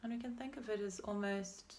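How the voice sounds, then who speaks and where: A woman leading a guided meditation as a teacher, speaking in a gentle, unhurried and soothing way with pauses between phrases. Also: medium pitch. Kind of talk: guided meditation, body scan.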